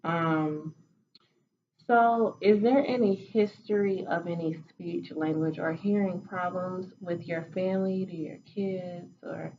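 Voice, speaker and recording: A woman playing a clinician in a role-played voice evaluation, asking calm, methodical questions. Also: fairly low pitch, slow, dull audio, a little hiss or hum. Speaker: student clinician in a mock voice evaluation.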